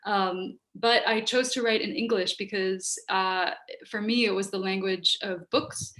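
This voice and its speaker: A woman with a middle-pitched voice and Hungarian as her mother tongue speaks informally on a video call. She is thoughtful and halting, thinking aloud as she explains her work as a writer. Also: steady tone, fairly bright tone. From United States, Hungarian-speaking home. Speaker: writer giving an online workshop. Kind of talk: informal talk answering questions. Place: book-lined room at home. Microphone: video call, wearing headphones.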